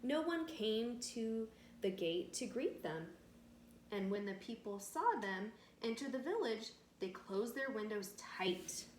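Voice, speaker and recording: A woman reads a picture book aloud as a teacher would for children. Her delivery is slow and expressive, and she explains things in simple terms. The room echoes around her, with slight background noise. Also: fairly high pitch. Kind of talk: storybook read-aloud with simple explanatory asides. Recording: roomy echo with faint background noise.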